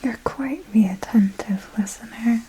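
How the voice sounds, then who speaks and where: A woman playing an elegant, seductive vampire mentor in an ASMR roleplay, speaking in a soft breathy whisper with formal, deliberate, intimate phrasing. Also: a little high, slight background noise, natural voice. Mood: hushed, alluring. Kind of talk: ASMR roleplay, scripted monologue.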